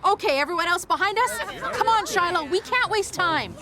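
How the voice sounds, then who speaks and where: A woman's voice: very high-pitched, lively intonation, moderate pace, a crowd in the background. A woman playing an anxious, melodramatic woman in love, with urgent, emotional delivery in an audio drama. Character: lovestruck, anxious, melodramatic woman searching for her sweetheart.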